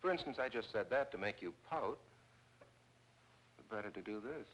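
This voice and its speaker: An actor playing a practical, attentive and teasing suitor in 1940s film noir style. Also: male voice, lowish voice, expressive, moderate pace, a bit bright, poor audio. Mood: teasing, flirty. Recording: old film soundtrack, faint noise.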